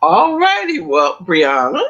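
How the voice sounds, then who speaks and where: A man's voice: sits high, wildly swooping pitch, slow pace, vocal fry, bright, forceful tone, muffled recording. A man moderating a church discussion panel, briefly handing the floor to each speaker.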